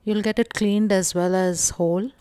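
A woman from India narrating a casual shopping walkthrough vlog, calm and explanatory with frequent pauses and fillers. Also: mid-range voice, smooth, no echo. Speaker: vlogger.

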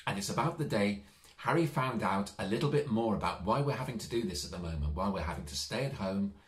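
A middle-aged man reading and talking to children in a gentle, reassuring, expressive way. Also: on the low side, fast talker. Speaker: storyteller. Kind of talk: children's story reading, direct address to young listeners. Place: small child's bedroom, indoors. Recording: echoey domestic room.

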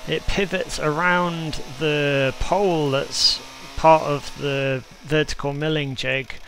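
A man's voice: a little high, steady tone, slow pace, gravelly, fairly bright tone, a little hiss or hum, low-quality recording. A man giving a conversational, explanatory DIY voice-over in an understated, informal way. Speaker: DIY video maker and narrator.

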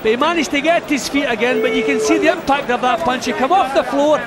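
A man's voice: very high voice, quick pace. A man giving expert co-commentary at a boxing match, analyzing the boxers' tactics and the referee's calls. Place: arena ringside. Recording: broadcast with loud crowd noise. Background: loud arena crowd.

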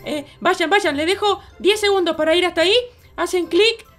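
A cheeky little baby boy for a kids' game roleplay, extremely high and bright. He chatters excitedly and insistently repeats his words. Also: normal speed, faint music behind. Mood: cheerful.

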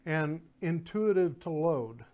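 An older man hosting a studio segment, asking friendly questions and pitching products, on a lapel mic. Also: lively intonation, takes it slowly, darkish tone. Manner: friendly, prompting questions, salesy. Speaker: host. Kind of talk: casual product chat. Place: small indoor studio with guns on wall.

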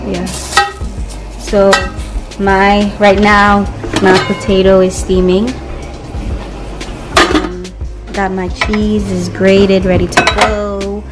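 Slow-talking young woman in a kitchen. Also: medium pitch. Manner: relaxed, unhurried, with fillers. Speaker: cooking vlogger. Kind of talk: casual cook-along narration. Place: home kitchen indoors. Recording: loud background music.

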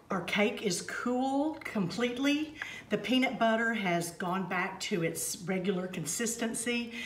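Homey older woman cooking in her kitchen. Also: medium pitch. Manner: homey, step-by-step instructive. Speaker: cooking segment host. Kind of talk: home cooking demonstration. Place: home kitchen, indoors. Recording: echoey kitchen with faint noise. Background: clinking pots, bowls and utensils.

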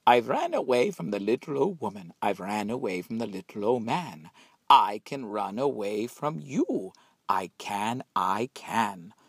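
A man's voice: on the low side, very animated, unhurried, slightly rough, dry recording, exaggerated voice. A cheeky little gingerbread boy from a storybook, acted out by a man. He boasts in rattled-off sing-song chants that leap up suddenly, with some grit in the voice. The sound is close and dry. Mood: boastful.

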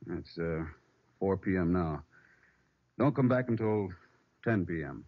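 A man's voice: low voice, lively intonation, measured pace, heavy background noise. A man playing a firm, paternal police superior in an old-time radio drama. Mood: firm concern. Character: firm, concerned male police superior ordering his men home. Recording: muffled, dry vintage radio recording.

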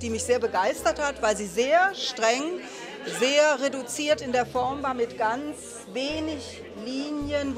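An older woman, a sculptor, explaining her work thoughtfully into a handheld mic at a gallery opening. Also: high-pitched. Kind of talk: interview statement. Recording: crowded gallery with music. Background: music and crowd chatter.

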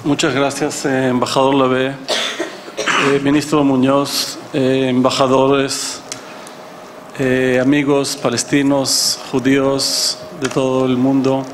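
A man in his fifties, born in Chile, giving a speech at a panel in a conference hall, halting and searching for words into a table mic. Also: medium pitch, monotone, background noise. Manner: halting, many fillers and restarts. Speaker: guest speaker. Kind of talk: formal speech, partly improvised. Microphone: gooseneck table mic.

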